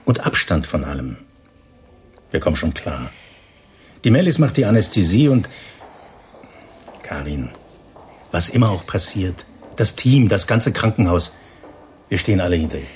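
An actor playing a calm, concerned, fatherly hospital chief in a radio drama, with a measured, solicitous manner. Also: sits low, slight background noise. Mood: concerned, reassuring. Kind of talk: naturalistic German radio drama acting.

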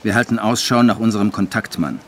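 An actor playing a disillusioned writer who narrates his life in a radio play, in a measured, literary way. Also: on the low side, a little hiss or hum, close to an everyday voice. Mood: sombre.

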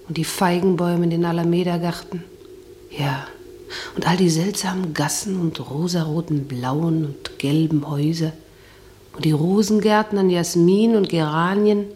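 An actress playing a sensual, dreamy, plain-spoken wife who muses to herself in a German radio drama monologue. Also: female voice, deep voice, a little mellow. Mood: rapturous. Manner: musing, intimate, talking to herself.

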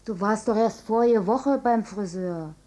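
Woman playing mum in a radio play, soft dark tone, plain and familiar. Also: mid-range voice, measured pace, close to an everyday voice. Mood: matter-of-fact. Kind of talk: German radio drama acting.

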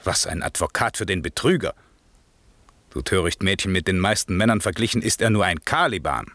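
A commanding sorcerer and lord of an island in a classic radio play. His lowish voice swings widely as he scolds and threatens with theatrical authority, close and dry. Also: expressive, fairly quick, a bit bright, dry recording, exaggerated voice. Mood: threatening. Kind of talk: classic German radio drama verse acting.

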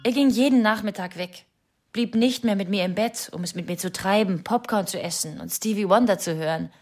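A woman's voice: mid-range voice, a little fast, a little mellow, soft background music, natural voice. An actress playing a bitter, suspicious wife confiding about her husband in a plain, matter-of-fact radio drama confession. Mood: wistful, resentful.

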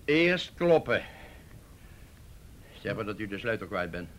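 An actor playing a sarcastic, domineering police detective with a crude tongue in a radio drama. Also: male voice, lowish voice, very animated, a little slow, slight background noise. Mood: commanding. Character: gruff, bullying, contemptuous male police detective with crude tongue.

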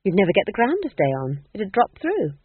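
Casting: junior witch, earnest and a little prim played by a woman, lively rising pitch, phone-like sound. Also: fairly quick, husky, no echo, exaggerated voice. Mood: objecting. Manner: objecting, insistent, slightly prim. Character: earnest, anxious junior witch, female, younger. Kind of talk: audiobook character voice. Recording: thin, phone-like band-limited audio.

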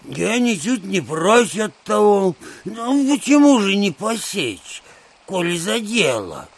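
A man's voice: high voice, big pitch swings, slow pace, full character voice. An actor playing a drunken, stubbornly submissive peasant coachman, rambling and stammering in an audio drama. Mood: meekly rambling. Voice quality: creaky. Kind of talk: Russian audio drama acting.

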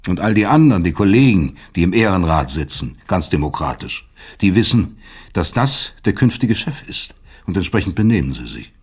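An actor in a German radio play playing a proud, bitter older East German professor of psychiatry, articulate, lecturing and sardonic. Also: male voice, low-pitched, sing-song, moderate pace, husky, exaggerated voice.

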